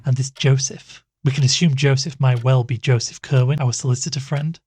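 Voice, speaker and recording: An actor playing an earnest, cautious investigator in an audio horror drama, asking questions in a serious way. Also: male voice, medium pitch, fairly even intonation, a little fast, darkish tone, husky, lightly acted. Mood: curious. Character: inquisitive male investigator and podcaster, earnest, cautious. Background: music.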